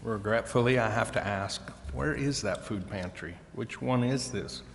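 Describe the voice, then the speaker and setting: Halting low-voiced American man, reverberant hall. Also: vocal fry. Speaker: city council member. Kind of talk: asking questions at public meeting. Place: council chamber. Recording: reverberant hall, faint background noise.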